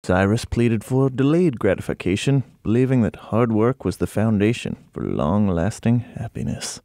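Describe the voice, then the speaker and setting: A man giving scripted narration for a historical documentary, telling it deliberately like a storyteller. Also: on the low side, lively intonation, dark tone, no echo, clean studio sound. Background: faint plucked guitar.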